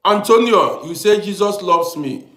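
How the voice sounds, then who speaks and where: A man's voice: sits high, lively intonation, slow pace, a bit bright, roomy sound. Chatty African man hosting a livestream. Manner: chatty, repeats himself, greets commenters by name. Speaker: livestream host. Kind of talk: casual livestream chat greeting viewers. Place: lives in Europe.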